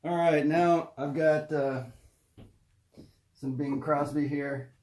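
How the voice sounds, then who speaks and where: A man's voice: a little high, steady tone, slow, a little mellow. A man giving a careful, step-by-step hands-on demonstration, explaining as he goes in an unscripted way. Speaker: presenter demonstrating an antique gramophone. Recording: roomy echo, faint noise and clicks.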